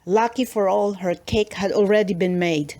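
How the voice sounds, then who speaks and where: A woman narrating a children's storybook as an expressive read-aloud. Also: mid-range voice, normal speed.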